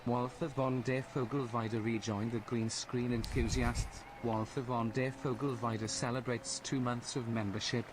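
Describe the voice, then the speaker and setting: A male text-to-speech voice reading stream alerts, flat and mechanical. Clear hiss and noise sit behind it in the recording. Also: medium pitch, unhurried, hardly any pauses.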